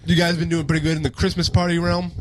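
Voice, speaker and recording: A man's voice: a little high, level tone, fast. Laid-back slangy man co-host. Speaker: podcast co-host. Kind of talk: casual chat.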